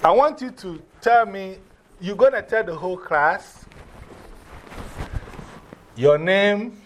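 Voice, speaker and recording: Ghanaian guy teaching class, highish voice, keeps repeating instructions, bit of background noise. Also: animated, slow. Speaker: teacher of a drumming and English class.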